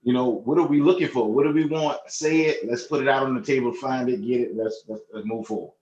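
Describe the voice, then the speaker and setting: A man speaking as a board member in a formal meeting, halting and repeating himself in a conciliatory way. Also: medium pitch, a little mellow. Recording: muffled, echoey online meeting audio.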